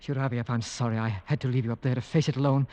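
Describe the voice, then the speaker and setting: An actor playing an earnest, driven and protective husband on a hunt, in intense theatrical 1940s radio drama style. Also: male voice, mid-range voice, fairly even intonation, fast talker, darkish tone, close to an everyday voice. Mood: anxious pleading. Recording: dull old radio broadcast recording.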